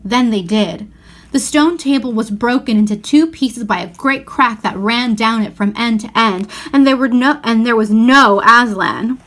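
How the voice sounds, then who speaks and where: A woman reading a children's novel aloud as expressive narration. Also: on the high side, measured pace. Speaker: storybook reader.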